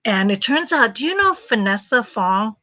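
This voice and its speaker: A bright, forceful woman with an American accent tells stories in an animated, laughing way. The sound is dry and a little dull. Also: fairly high pitch, moderate pace, no room sound. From Southeast Asia. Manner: animated storyteller, laughs often. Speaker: host. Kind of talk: casual live video chat.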